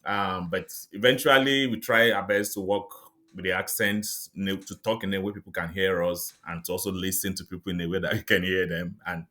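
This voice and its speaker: A middle-aged Nigerian man whose first language is Yoruba, speaking as an interview guest in a measured, reflective way with light laughs. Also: deep voice, steady tone, pushed and bright. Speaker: guest, psychiatrist and author. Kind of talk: interview conversation.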